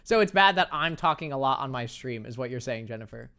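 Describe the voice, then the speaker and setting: A young man with a light, high-pitched voice, chatting casually and playfully with his viewers while he plays a game, laughing often and joking at his own expense. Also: lively intonation, fast, sharp tone, smooth. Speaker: streamer. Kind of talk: casual chat reading viewer messages while gaming.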